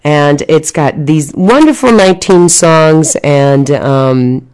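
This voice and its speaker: Low-voiced chatty woman radio host. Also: slow pace, smooth. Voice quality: loud voice. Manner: chatty, enthusiastic, many fillers and restarts. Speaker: radio show host and numerologist. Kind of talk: casual radio interview chat.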